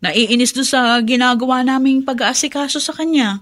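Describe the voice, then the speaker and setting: A woman's voice: sits high, moderate pace, fairly bright tone, no room sound. An actress playing a weary, dutiful middle-aged mother in a radio drama. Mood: worried, puzzled. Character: weary dutiful mother caring for her ageing father.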